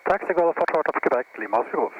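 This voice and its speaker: A man flying a small plane as a private pilot, giving crisp procedural readbacks and cockpit callouts through an aviation headset. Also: on the high side, animated, rapid-fire, muffled recording, noisy background. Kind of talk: radio readbacks and cockpit narration.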